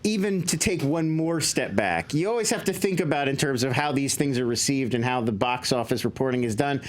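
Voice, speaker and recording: A man's voice: mid-range voice, expressive, a little fast. A man giving casual commentary as a guest on a talk show, conversational and prone to digressions.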